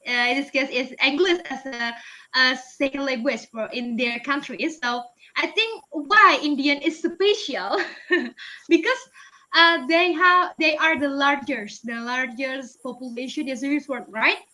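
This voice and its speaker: A woman's voice: sits high, a little slow, sharp tone, rough recording. A young woman with an Indonesian accent, answering webinar questions in a chatty way, laughing often, with many fillers and restarts. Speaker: guest speaker, English teacher.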